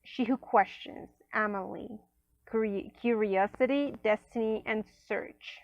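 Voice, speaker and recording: A woman whose first language is Spanish, casually reviewing an oracle deck at a table, chatty, with many fillers and soft near-whispered asides. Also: on the high side, steady tone, measured pace, creaky, no room sound, dull audio. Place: indoors at a table.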